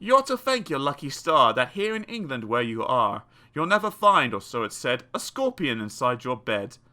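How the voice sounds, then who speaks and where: A man narrates children's verse as an audiobook reader. His delivery is theatrical and expressive, with a lively, wide-ranging pitch and a bright, forceful tone. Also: moderate pace. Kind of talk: dramatic children's poetry reading.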